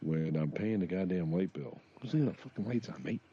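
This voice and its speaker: A man's voice: sits low, expressive, a little fast, slight background noise. A man co-hosting a podcast in casual chat, with crude, profane joking, on a slightly muffled recording. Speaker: podcast co-host.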